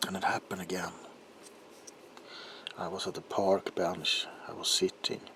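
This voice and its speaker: A man acting a low-voiced, haunted, guilt-ridden doctor. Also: little pitch movement, sharp tone, slight background noise, exaggerated voice. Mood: grim remorse. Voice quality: breathy, often dropping into whisper. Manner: halting, haunted, intense, broken phrases. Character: anguished, guilt-ridden doctor confessing to his monstrous alter ego. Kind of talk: ASMR dramatic roleplay monologue.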